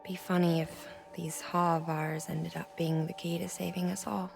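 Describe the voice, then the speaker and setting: Tough tender woman, gravelly, even pitch, wry, understated, reassuring, video game cutscene. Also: normal speed, over music.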